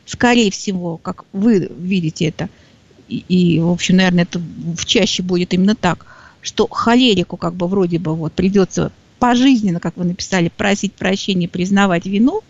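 A woman's voice: lowish voice, normal speed, darkish tone, dry recording. Woman co-host, dull muffled recording. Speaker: talk show co-host. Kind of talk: casual conversational discussion. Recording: dull, band-limited sound.

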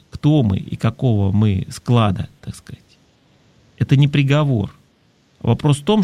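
A man's voice: medium pitch, darkish tone, no room sound. A man hosting an advice talk in a conversational, reflective way, explaining things and posing rhetorical questions. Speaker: talk show host. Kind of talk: conversational advice talk, reading listener messages.

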